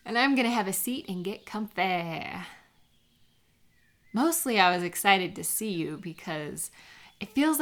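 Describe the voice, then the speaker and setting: Woman playing a chatty, warm best friend. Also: medium pitch. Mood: playful, amused, then affectionate, probing. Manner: chatty, gently encouraging, hesitant little pauses. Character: warm, teasing female best friend out bird watching. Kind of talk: lighthearted intimate audio roleplay, one-sided conversation.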